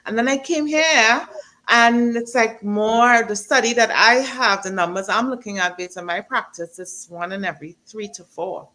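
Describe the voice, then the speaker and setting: Bright-voiced woman explaining health matters. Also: a little high, normal speed, rough recording. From Trinidad. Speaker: doctor guest. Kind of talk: health interview answers.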